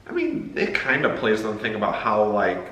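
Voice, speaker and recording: A man's voice: lowish voice, wildly swooping pitch, brisk, a bit bright. A man in a casual movie chat, talking in a rambling, explanatory way as a co-host. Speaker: family vlog co-host. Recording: roomy echo, clear background noise.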